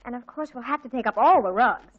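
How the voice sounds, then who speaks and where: A woman playing an excitable, bossy teenage girl in a 1940s radio comedy, dramatic and wheedling. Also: on the high side, fast. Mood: excited, bossy. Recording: muffled 1940s radio recording.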